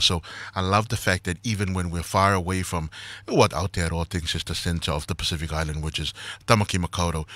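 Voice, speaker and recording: Low-pitched, bright-voiced male radio host. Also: dry recording. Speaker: radio morning show host. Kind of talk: conversational radio interview.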